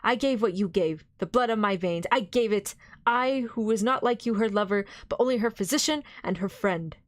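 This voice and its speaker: A woman's voice: a little high, lively intonation, fairly quick, dry recording, voice pushed up, natural voice. Van Helsing, read by a woman, grave and formal. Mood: earnest. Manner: grave, formal, stern pleading. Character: grave elderly foreign professor, earnest and stern.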